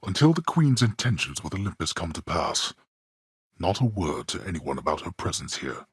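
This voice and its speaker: An actor playing a stern, disdainful god of the underworld in a video game, speaking formally and haughtily in a gravelly, theatrical voice. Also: male voice, very animated, normal speed, no room sound, clean studio sound, full character voice. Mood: grave, subdued. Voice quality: gravelly, booming bass. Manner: formal, haughty, archaic diction, clipped disapproval.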